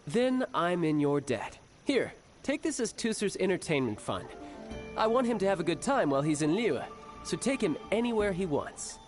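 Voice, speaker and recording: A man's voice: sits high, sing-song, normal speed. A man voicing a cocky, playful young male warrior in a game cutscene, teasing and mock-formal, with music behind. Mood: gracious. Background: background music.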